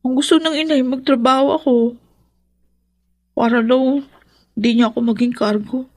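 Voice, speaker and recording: An actress playing a meek, dutiful young daughter in a Filipino radio drama, hesitant, polite and subdued. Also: female voice, high-pitched, fairly even intonation, normal speed, darkish tone, no echo. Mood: subdued, sad.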